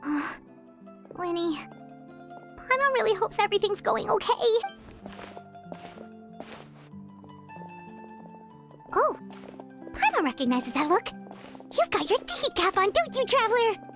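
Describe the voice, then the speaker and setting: A tiny floating girl sidekick from a video game, naive and chatty. The voice is extremely high and squeaky, bouncing up and down like a cartoon child. Jingly music plays clearly behind it. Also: very animated, normal speed, long pauses, exaggerated voice. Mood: concerned, teasing. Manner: squeaky, talks about herself in third person.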